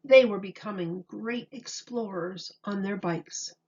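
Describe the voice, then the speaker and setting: A woman reading a children's story aloud like a teacher, in a deliberate, expressive way. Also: medium pitch, muffled recording.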